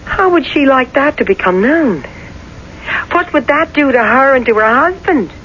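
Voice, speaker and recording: An actress playing a pushy, scheming wife in a 1970s radio drama, coaxing and insistent. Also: female voice, high voice, expressive, moderate pace, fairly bright tone. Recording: dull, noisy vintage radio recording.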